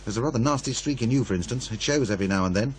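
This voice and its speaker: An actor playing a wry, needling radio producer who muses and insinuates in radio drama dialogue. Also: male voice, mid-range voice, racing, a little hiss or hum, lightly acted. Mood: musing, teasing.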